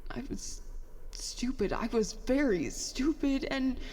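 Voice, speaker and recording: A woman's voice: high voice, lively intonation, slow, a little mellow, big-room sound, exaggerated voice. A woman playing a bitter, self-doubting young former prodigy in an audio drama, with a sarcastic, self-deprecating, rambling way of talking. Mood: regretful. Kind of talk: audio drama dialogue, confessional monologue.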